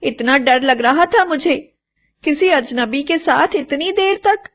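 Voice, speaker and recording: A woman voicing a lonely, caring and anxious village mother, plaintive and expressive, in an animated moral story. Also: high-pitched, slow pace, full character voice. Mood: scolding, anxious.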